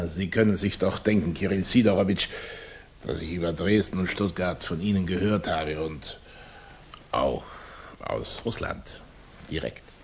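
An actor playing a cunning Russian émigré revolutionary in a German radio play, flattering and condescending, with florid, oily politeness. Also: male voice, deep voice, unhurried, pauses often, husky, full character voice. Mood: suave, probing.

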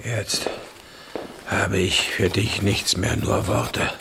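An actor playing a stern, bitter old dying king in a German radio play, halting and commanding. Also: fairly low pitch, level tone, background noise. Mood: bitter, resigned. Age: older. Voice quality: gravelly, breathy, weakened voice. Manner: halting, laboured, commanding delivery.

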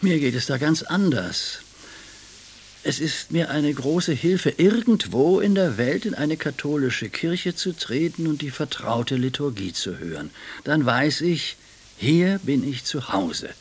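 An actor in a radio play voicing an educated, pious but doubting gentleman with a husky voice and an earnest, questioning manner. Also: a little high, lively intonation, measured pace, heavily acted. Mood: devout, warm.

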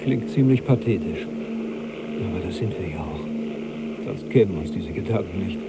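A restless, weary husband in a radio drama. He speaks in a fairly low voice with brooding understatement. A clear ambient drone and tape noise run behind him. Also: lively intonation, normal speed. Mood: resigned, brooding.

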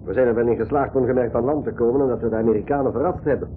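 A man's voice: medium pitch, very fast, lightly acted. A man with a Flemish accent playing a practical, cautious, matter-of-fact crew member in an adventure radio play. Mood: sceptical. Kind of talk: 1980s school radio play acting. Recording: tinny old radio recording, faint noise.

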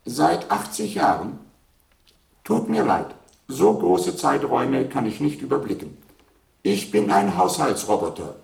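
An actor playing an obsolete household robot in a radio play, polite, formal and literal, a slow-witted servant. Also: male voice, mid-range voice, sing-song, creaky, darkish tone, reverberant, exaggerated voice. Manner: courteous, formal, matter-of-fact. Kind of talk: German radio play acting.

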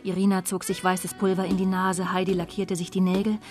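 A woman's voice: fairly low pitch, flat delivery, brisk, over music, close to an everyday voice. An actress playing a disillusioned woman of twenty-nine, narrating her life soberly in a radio drama. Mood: bitter, reflective. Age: young adult. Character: disillusioned 29-year-old daughter of a prostitute, coolly confessional.

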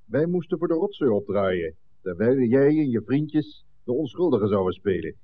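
A man's voice: medium pitch, very animated, moderate pace. An actor playing a wary, menacing male crook who leads his mates, talking bluntly in a Dutch radio drama on an old narrow-band recording.

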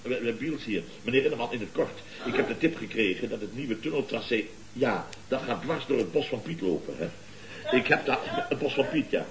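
An actor playing a blustering, boastful contractor boss in a Dutch radio comedy, with a pompous, theatrical, lecturing manner. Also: male voice, mid-range voice, lively intonation, a little fast, lightly acted, low-quality recording. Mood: flustered. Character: blustering, boastful contractor boss and uncle, scheming wheeler-dealer.

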